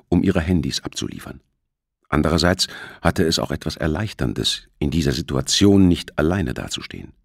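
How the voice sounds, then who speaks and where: Low-pitched, expressive male audiobook narrator. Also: vocal fry, no room sound, clean studio sound. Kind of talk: scripted thriller narration.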